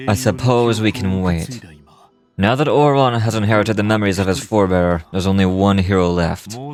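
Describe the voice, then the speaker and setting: A man's voice: low voice, close to an everyday voice. Man acting as a solemn commanding warrior, faint music. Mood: grave, conceding. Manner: measured, formal, grave delivery. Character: male harbinger commander, solemn, dignified warrior. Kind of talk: video game voice acting.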